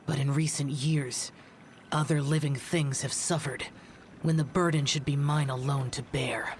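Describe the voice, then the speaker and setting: A man's voice: a little high, fairly even intonation, measured pace, slight background noise, natural voice. A male actor playing a stoic, youthful immortal demon-slayer in an anime video game, speaking in a grave, formal, aloof way. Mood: brooding. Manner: formal, grave, archaic phrasing. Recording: music behind the voice.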